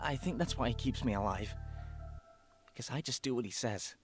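A woman voicing a timid, resigned male captive in a horror game, hesitant, quiet and apologetic. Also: a bass voice, normal speed, music in the background, voice pushed down. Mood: hopeless, afraid.

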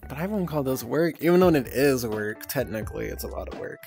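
A woman's voice: a bass voice, animated, a little fast, vocal fry. A young woman streaming from home, chatting casually in a rambling, giggly way and swearing freely. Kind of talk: casual live-stream chat. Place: streaming at home, VR avatar on screen. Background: soft background music.

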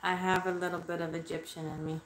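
A woman's voice: fairly low pitch, level tone, quick pace, a little hiss or hum. Woman in her thirties, rambling livestream chat, casual swearing, webcam in a bedroom, echoey room. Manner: rambling, chatty, swears freely, talks to chat viewers. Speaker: livestreaming spiritual medium. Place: small bedroom under red light. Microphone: webcam at desk distance.

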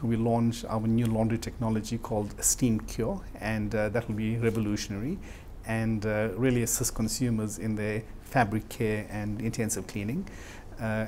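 A man's voice: medium pitch, dark tone, a little hiss or hum. A South African man in his forties answering interview questions as a marketing director. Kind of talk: on-camera interview. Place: office, indoors.

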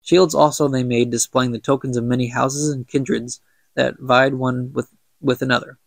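Young guy with a stuffed up nose reading a book out loud for his podcast, a bit of fry, dead dry sound. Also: mid-range voice, little pitch movement, normal speed, no echo. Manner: chatty, stumbles and laughs at own slips. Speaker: podcast host reading aloud. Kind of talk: casual book reading with commentary.

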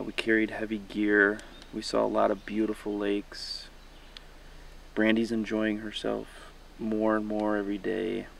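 A man's voice: on the low side, gravelly, recorded from a distance, slight background noise. A man in his thirties, a first-time canoe camper, talking earnestly and a little hesitantly to the camera outdoors in a pine forest. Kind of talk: casual outdoor video diary.

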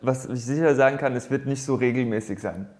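A man's voice: medium pitch, brisk, a little mellow. A man in his thirties co-hosting a casual studio talk show, agreeable, chiming in and laughing along. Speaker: co-host. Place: studio set with brick wall backdrop.

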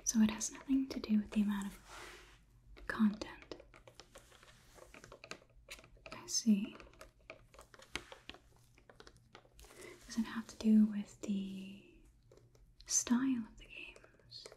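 A young woman playing a logic-minded robot overlord in an ASMR roleplay, whispering softly in a measured, detached way. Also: medium pitch, lightly acted. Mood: detached, curious. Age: young adult. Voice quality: soft, breathy whisper. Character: clinical, logic-minded female robot overlord examining a human. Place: small indoor room, plain wall.

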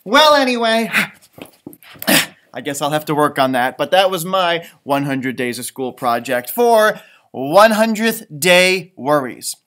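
A man's voice: fairly high pitch, expressive, unhurried, a bit bright. A man in his thirties reading aloud expressively for children, with chatty asides and musing exclamations. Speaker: teacher, storytime host. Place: indoors, school library.

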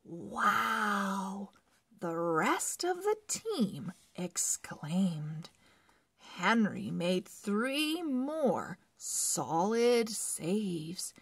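A woman narrating a children's read-along book in a deliberate, expressive way, phrase by phrase. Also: medium pitch, breathy edge, dry recording. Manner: deliberate, word-by-word phrasing with animated emphasis. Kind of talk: slow expressive read-aloud for early readers.

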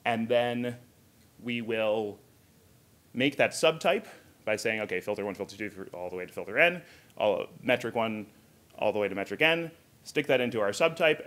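A man's voice: fairly low pitch, bright, forceful tone. A man in his thirties giving an informal technical conference talk, explaining conversationally with asides from a podium. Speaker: conference speaker, software engineer. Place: hotel conference room, indoors.

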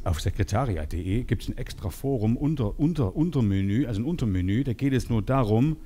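Male sales trainer, forties, soft-edged timbre, mid pitch, animated and teasing delivery for a live seminar. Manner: animated, teasing, role-plays short dialogues. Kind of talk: lively seminar talk to participants. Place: seminar room with participants. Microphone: headset.

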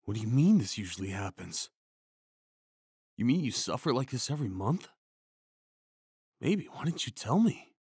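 A man performing an ASMR comfort roleplay as a tender, worried boyfriend, speaking in a hushed, soothing way with sighs and shushing. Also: mid-range voice, very animated, normal speed, long pauses, slightly rough, no echo, studio quality.

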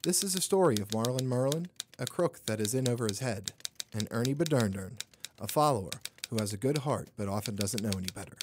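A man's voice: mid-range voice, animated, a little fast. A man narrating a scripted audio theater story. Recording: clearly noisy background with clicks.

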